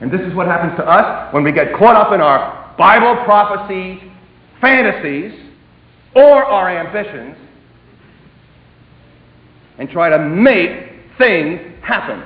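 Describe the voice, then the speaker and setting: Male preacher, high and very animated voice, emphatic with sudden hushed drops, echoey hall. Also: slow, pauses often. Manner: emphatic, dramatic swings between loud and hushed delivery. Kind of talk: sermon. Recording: echoey hall, tinny phone-like sound.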